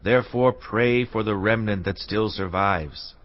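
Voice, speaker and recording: A man's voice: on the low side, unhurried, highs cut off, close to an everyday voice. A man playing a distressed, solemn royal messenger pleading for help in a dramatised audio Bible. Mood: grave distress. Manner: grave, pleading.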